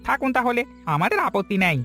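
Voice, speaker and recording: A man's voice: extremely high pitch, sing-song, brisk, pushed and bright, soft background music, full character voice. A man voicing a plain-spoken, loyal and stubborn village husband in a cartoon story, direct and insistent. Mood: eager, encouraging. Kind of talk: Bengali cartoon story voice acting.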